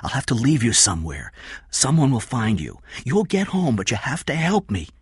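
Man voicing an urgent, hushed, determined young woman. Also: medium pitch, big pitch swings, moderate pace, husky, dry recording, studio quality, pitched above the performer's own voice. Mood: urgent whisper. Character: anxious, determined young woman, protective and insistent.

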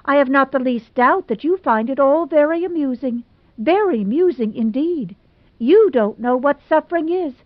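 A woman's voice: high voice, animated, moderate pace, dry recording, lo-fi sound, voice pushed up. A woman voicing a melodramatic, self-pitying young woman who speaks in a gushing, tearful, theatrical manner.

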